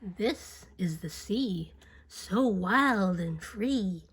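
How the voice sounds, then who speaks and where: A woman reading a children's book aloud as expressive rhyming narration, deliberate and singsong, drawing out words. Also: mid-range voice, breathy edge.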